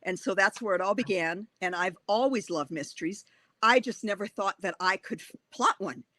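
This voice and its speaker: A woman in her sixties with a Wisconsin accent, talking about her writing in an eager, chatty way and laughing often. Her voice is bright and forceful, recorded dry and close. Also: medium pitch, moderate pace, no room sound. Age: 60s. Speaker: author guest. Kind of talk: bookstore author talk.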